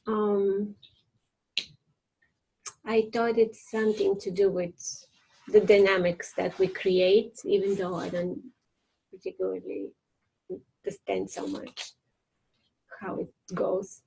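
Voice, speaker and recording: Woman, slow and husky, kinda gravelly, thinks before each word. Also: mid-range voice, level tone, dark tone, low-quality recording. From Bulgaria. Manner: searching for words, pausing. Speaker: accountant, training participant. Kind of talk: reflective self-introduction.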